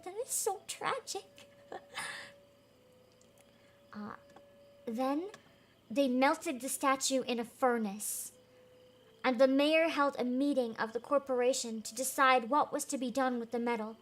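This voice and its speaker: A woman's voice: high-pitched, measured pace, long pauses. A woman reading a fairy tale aloud as host, in an emotive, giggly way with casual reactions.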